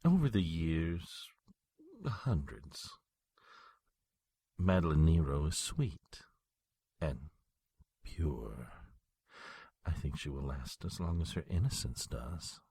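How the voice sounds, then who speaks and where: An actor playing an ancient, haughty, menacing male vampire lord in an audio drama, with a breathy rasp and a pompous, deliberate manner. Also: very deep voice, lively intonation, dark tone, dry recording, full character voice. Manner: slow, pompous, coldly deliberate.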